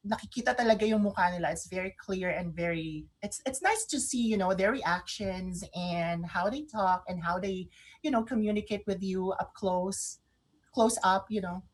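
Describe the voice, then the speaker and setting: Expressive Filipino-accented woman co-host. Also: mid-range voice. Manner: expressive, halting with repeated words. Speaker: radio show co-host. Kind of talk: casual chat.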